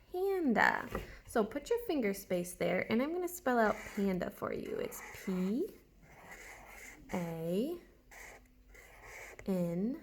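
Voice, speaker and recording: A woman's voice: mid-range voice, vocal fry, slight background noise. A woman teaching a writing lesson to young children, guiding them step by step with questions and spelling words out. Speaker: early elementary teacher.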